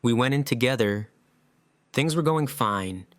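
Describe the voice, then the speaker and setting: A man acting an earnest, guilt-ridden survivor in an audio drama, with halting, emotional delivery. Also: medium pitch, animated, dry recording, close to an everyday voice. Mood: grim, recounting.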